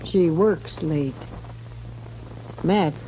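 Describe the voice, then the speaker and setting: A woman's voice: sits low, very slow, warm tone. An American actress playing a worldly, matter-of-fact frontier woman with a dry tongue in an old-time radio Western. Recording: muffled old radio recording, faint hiss.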